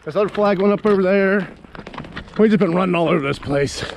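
Man from Ohio, excitable outdoor vlog host, slightly high voice, noisy camera audio out on the ice. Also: fairly quick, vocal fry. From Ohio, USA. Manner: chatty, excitable, swears casually. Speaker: fishing video host. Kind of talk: casual play-by-play commentary. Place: outdoors on a frozen pond in winter. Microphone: body-worn action camera.

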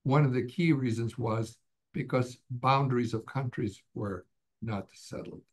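A man's voice: mid-range voice, a little slow. A middle-aged man from Canada, a guest author talking in an office over a video call, with many fillers and restarts. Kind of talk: casual interview on a video call. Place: office indoors. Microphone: webcam on a video call.

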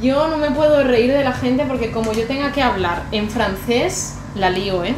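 A woman's voice: a little high, moderate pace. A young woman with a Spain Spanish accent, chatting casually through a makeup tutorial as a beauty vlogger. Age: young adult. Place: small bright bedroom, indoors. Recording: echoey room with background music.

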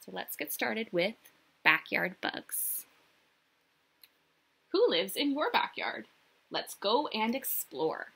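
A young woman reads a children's picture book aloud as a teacher would. Her voice swoops expressively in a sing-song way, with a bright, forward tone and a slightly breathy, husky edge. She speaks directly to young listeners, inviting them to answer. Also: fairly high pitch, very animated, takes it slowly, long pauses. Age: young adult. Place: small indoor room.

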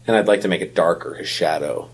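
Male podcast co-host, low-pitched and husky, conversational delivery. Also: fast. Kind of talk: casual chat.

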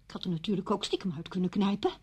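An actress playing a despairing, bitter widow in a radio play, with a sardonic, biting manner. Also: female voice, medium pitch, racing, warm tone, husky, lightly acted. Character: bitter, despairing widow coldly holding her visitor captive. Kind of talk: Dutch radio play acting.

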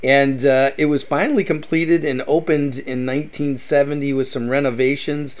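A man's voice: medium pitch, steady tone, moderate pace, smooth. A middle-aged man hosting a travel vlog, giving upbeat tips and often saying "that's right". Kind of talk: enthusiastic travel guide narration.

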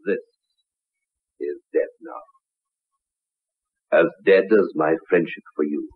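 An actor playing a cunning, vengeful betrayed husband in a vintage radio horror drama, husky and gravelly, speaking with slow, deliberate menace. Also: male voice, a bass voice, no echo, exaggerated voice. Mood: cold menace. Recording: narrow-band vintage 1960s radio recording.

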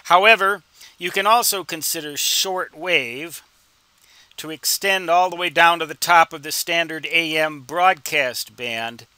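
Bright, crisp-edged man in his sixties, unhurried. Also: a little high, no echo. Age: 60s. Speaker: instructor and ham radio hobbyist. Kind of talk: informal explanatory tutorial.

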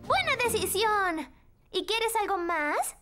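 Super high little cartoon shopkeeper girl, fussy n proud, really bouncy, music behind. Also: big pitch swings, slow pace, fairly bright tone, heavily acted. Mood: chirpy, businesslike. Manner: fussy, eager, insists everything is under control. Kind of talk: animated cartoon dub acting.

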